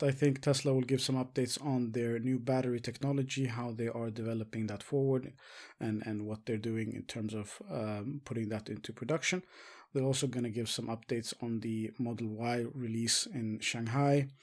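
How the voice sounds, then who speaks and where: A man presenting investing commentary as a show host, speaking haltingly with frequent filled pauses. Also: mid-range voice, steady tone, a little mellow. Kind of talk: semi-scripted stock market commentary.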